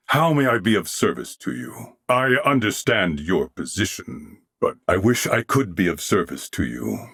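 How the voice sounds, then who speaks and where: Deep, slow, dignified warrior companion. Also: male voice, a bit bright, dry recording, studio quality, full character voice. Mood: earnest, courteous. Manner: formal, measured, humble diction. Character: courteous, dignified male warrior companion, solemn and loyal. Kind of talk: scripted video game character acting.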